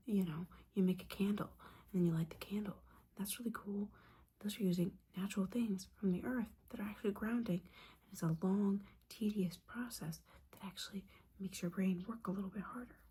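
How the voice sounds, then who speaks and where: A woman's voice: mid-range voice, mellow. Whispering, judgy witch mentor played by a woman. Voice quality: breathy whisper. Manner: scolding, casual, sweary, exasperated. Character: disappointed, judgy, sarcastic witch mentor, female. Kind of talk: whispered ASMR roleplay monologue.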